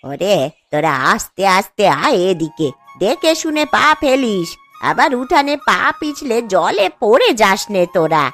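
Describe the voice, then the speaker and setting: A woman's voice: medium pitch, very animated, a little slow, a bit bright, faint music behind. A woman voicing an aggrieved, self-pitying old village grandmother in a cartoon story, complaining and reproachful. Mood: grumbling.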